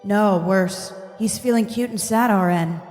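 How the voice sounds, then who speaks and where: A woman playing a casual, wry, deadpan God narrating a comedic radio play. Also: mid-range voice, measured pace, big-room sound, soft background music, lightly acted. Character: blasé, crude, wry God in heaven.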